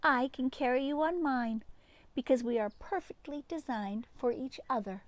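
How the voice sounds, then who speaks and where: A woman's voice: high-pitched, moderate pace, dry recording, close to an everyday voice. A woman reading the part of a loving, curious, earnest young boy in a picture book, with eager wonder and tenderness. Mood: tender, eager. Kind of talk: picture-book read-aloud.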